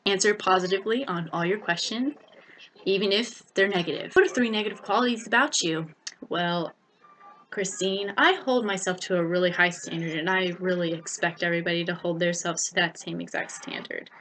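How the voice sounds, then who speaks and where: A woman's voice: lowish voice, brisk, fairly bright tone, poor audio. A young American woman giving casual vlog advice in a chatty, informal way, often repeating words for emphasis. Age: young adult. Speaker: vlogger. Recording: noticeable background noise.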